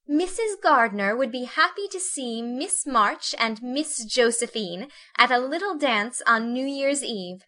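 A woman voicing a girlish, excitable teenage older sister in a storybook, fretful and prim, speaking in exclamations. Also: high-pitched, moderate pace, voice pushed up. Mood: delighted. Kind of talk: storybook character reading.

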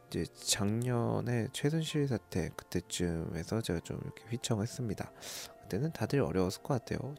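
Low-pitched, gravelly man giving stock tips. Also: a little mellow. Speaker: host of a stock tip broadcast. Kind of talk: informal solo stock recommendation talk.

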